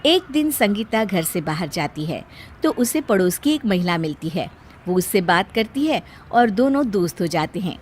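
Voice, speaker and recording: A woman's voice: medium pitch, big pitch swings, moderate pace, slight background noise. A woman storyteller reading a scripted family tale as narration.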